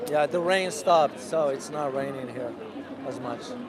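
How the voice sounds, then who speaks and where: A man vlogging as he walks a city street outdoors, talking loudly and passionately while answering viewers' questions. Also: a little high, a little slow. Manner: loud and passionate. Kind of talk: casual walking vlog answering live questions. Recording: crowded outdoor street noise. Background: street crowd.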